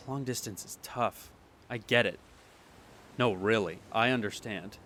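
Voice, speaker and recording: A young man speaks a radio drama monologue in a somewhat low voice. He halts, repeats himself and sighs as he pleads. A faint ambient hiss sits under the recording. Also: pushed and bright. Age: young adult. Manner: halting, sighing, pleading. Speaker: monologue performer. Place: beside the sea.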